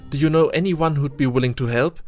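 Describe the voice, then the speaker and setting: A man's voice: fairly high pitch, a little fast, a little mellow, close to an everyday voice. Man playing a folksy young candy seller. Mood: persuasive. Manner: folksy, cheerful, slightly unsure salesman patter. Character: friendly young male travelling candy seller. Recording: music behind the voice.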